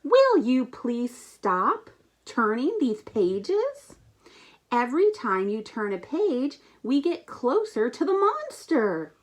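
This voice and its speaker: A woman's voice: high voice, very animated, slow, exaggerated voice. A woman voicing an anxious, exasperated male furry monster from a children's storybook, theatrical and pleading. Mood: alarmed. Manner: exasperated, emphatic, theatrical delivery.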